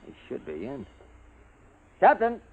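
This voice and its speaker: A man's voice: medium pitch, wildly swooping pitch, a little slow, narrow, tinny audio. Male soldier on noisy old radio. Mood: alarmed. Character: dutiful male soldier escorting a prisoner. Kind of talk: 1950s radio drama acting.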